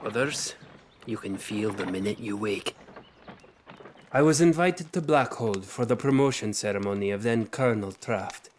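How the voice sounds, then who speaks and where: An actor playing a seasoned, weary general who recounts memories in a measured, solemn way in a fantasy audio drama. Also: male voice, on the low side, a little hiss or hum, lightly acted. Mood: solemn reminiscing. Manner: measured, plain-spoken, solemn.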